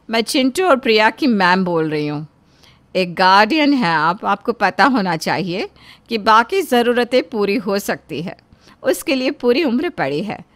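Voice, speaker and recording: A woman's voice: mid-range voice, a bit bright. A woman playing a stern, righteous schoolteacher in a cartoon story, lecturing in a formal, reproachful way.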